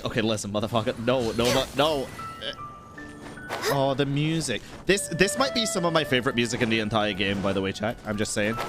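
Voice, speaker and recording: A man doing casual live gaming commentary as a streamer, expressive, slangy and sweary. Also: medium pitch. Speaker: game streamer. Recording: game music behind the voice.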